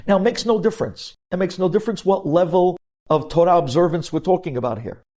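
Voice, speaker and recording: Mellow-voiced man giving an emphatic religious lecture. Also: high-pitched, fast. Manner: emphatic, addresses listeners directly with rhetorical questions; sprinkles speech with Hebrew religious terms. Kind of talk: sermon-like lecture with storytelling and acted-out dialogue.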